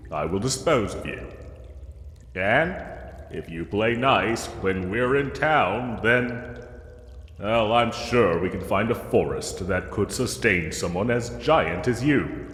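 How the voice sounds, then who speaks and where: In a long, echoing space, a pompous knight acted by a man holds forth in a fantasy roleplay. His formal, old-fashioned speech is slow and swoops theatrically, condescending but with a soft heart beneath the gallantry. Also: on the low side, lively intonation. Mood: firm, reassuring. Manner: formal, archaic, grandly theatrical diction. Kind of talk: scripted fantasy audio roleplay. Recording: echoey cave-like reverb, music underneath.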